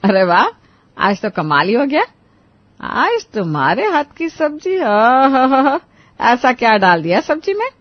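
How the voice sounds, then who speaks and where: A woman's voice: on the high side, sing-song, unhurried, no room sound, dull audio. Woman acting nagging cartoon mother-in-law, dry close sound. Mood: delighted. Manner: nagging, sarcastic. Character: scolding, grumbling mother-in-law, sharp-tongued older woman. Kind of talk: Hindi cartoon family drama voice acting.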